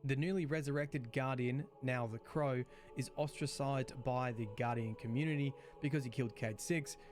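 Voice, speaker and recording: A man reading scripted explainer narration in a clear, expository way. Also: mid-range voice. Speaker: lore video narrator. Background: background music.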